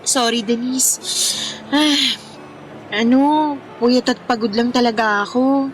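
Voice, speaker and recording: High-voiced, apologetic young woman. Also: slow pace, soft background music. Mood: apologetic, tired. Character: tired, apologetic, easygoing young woman in love. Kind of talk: Filipino radio drama acting.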